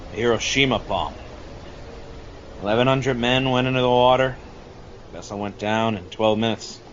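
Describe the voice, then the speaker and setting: A man playing a gruff, boastful old shark hunter and war survivor in an amateur audio drama, telling salty, rambling stories. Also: mid-range voice, close to an everyday voice. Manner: rambling salty storyteller, addressing listener as Chief. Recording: dull lo-fi audio with loud background noise.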